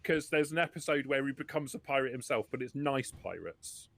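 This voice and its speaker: A man acting as game master, improvising narration and answers for a tabletop roleplaying game in an animated way. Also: mid-range voice, brisk, gravelly. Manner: animated, talks in character.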